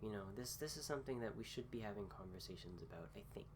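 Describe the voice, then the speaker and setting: A man recovering from a cold gives a casual philosophy talk with many pauses, fillers and restarts. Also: on the low side, animated, a little hiss or hum. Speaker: host.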